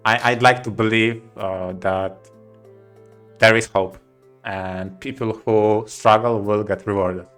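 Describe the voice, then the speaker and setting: A man co-hosting a podcast in casual chat, with a halting, hesitant way of talking. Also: fairly low pitch, fairly even intonation, a bit bright, faint music behind. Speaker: podcast co-host.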